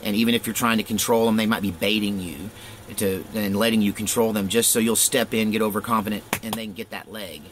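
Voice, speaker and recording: A middle-aged man casually telling a rambling personal story, animated and full of fillers and chuckles. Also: on the low side, a little fast, background noise.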